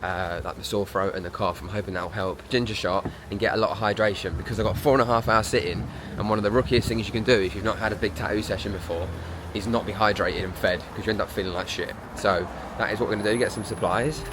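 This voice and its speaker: A man's voice: on the low side, steady tone, fast talker, noisy background. A man, chatting casually in a vlog, a bit congested from a sore throat, upbeat and rambling. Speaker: vlogger.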